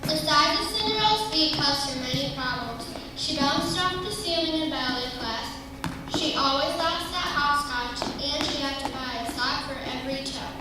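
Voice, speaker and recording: Woman narrator, high voice, scripted comic tale, distant hall recording. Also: moderate pace. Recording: distant echoey hall with clear noise.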